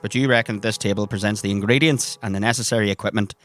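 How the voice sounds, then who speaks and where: A man's voice: on the low side, fairly even intonation, fast talker, fairly bright tone, soft background music. A man working as game master, narrating scenes descriptively for a tabletop role-playing game.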